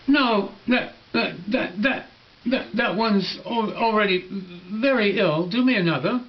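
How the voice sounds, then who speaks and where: A man voicing a small, gentle, insistent little boy in a storybook, speaking haltingly. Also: sits high, muffled recording, pitched above the performer's own voice. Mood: picky, earnest.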